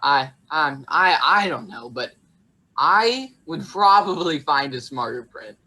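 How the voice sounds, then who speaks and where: Young woman acting wry doubting fairytale character, low voice, takes her time, comedy play. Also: animated, slow pace, pushed and bright, full character voice, low-quality recording. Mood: sceptical. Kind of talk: comic stage acting over video call.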